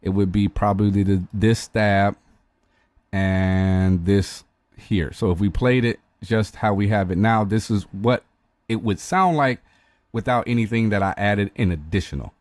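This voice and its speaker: A man with a fairly low voice talks through a music mix step by step. His delivery is relaxed and explanatory, with fillers and restarts as he thinks aloud. The sound is close and dry, with no room around it. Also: measured pace, no echo. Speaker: host demonstrating music mixing. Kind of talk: casual tutorial walkthrough.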